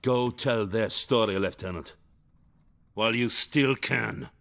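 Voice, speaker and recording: An actor playing a stern Soviet superior officer who speaks in a curt, commanding way. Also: male voice, lowish voice, slow pace, creaky, a bit bright, heavily acted.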